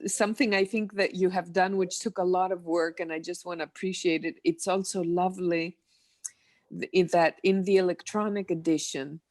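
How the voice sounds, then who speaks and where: Low-ish woman rambling on a dry call. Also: fairly even intonation, normal speed, a little mellow, no echo. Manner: rambling, searching for words. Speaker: event participant. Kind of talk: casual online video call chat. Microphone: video call.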